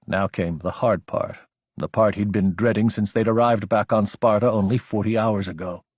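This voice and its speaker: Husky male audiobook narrator, dry recording. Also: lowish voice, fairly even intonation, normal speed, dry recording. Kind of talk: scripted fiction narration.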